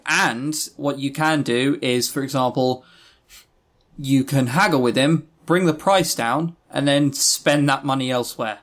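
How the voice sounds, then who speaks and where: Male podcast co-host, mid-pitched, rambling and opinionated, casual with swearing. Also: a little slow, fairly bright tone. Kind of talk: casual chat, game review.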